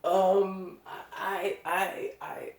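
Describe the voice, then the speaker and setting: A man's voice: high voice, fairly even intonation, extremely slow, gravelly, reverberant. A man giving casual, awestruck reaction commentary as a host, emphatic and often repeating phrases for effect. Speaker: host of a music reaction show.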